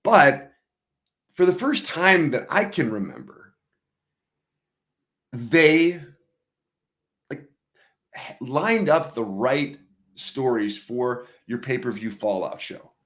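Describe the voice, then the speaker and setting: A man hosting a live wrestling news show, chatty and upbeat, a bit hoarse from losing his voice. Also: medium pitch, expressive, takes it slowly, long pauses, a bit bright. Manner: upbeat, chatty, many fillers. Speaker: host. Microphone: streaming setup mic.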